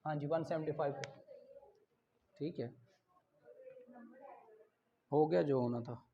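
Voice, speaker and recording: A man with a Pakistani accent teaching an online law class, reading English questions slowly, explaining them in Urdu and calling on students by name. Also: a little high, creaky, dark tone, highs cut off, a little hiss or hum. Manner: calls on students by name, brisk and encouraging.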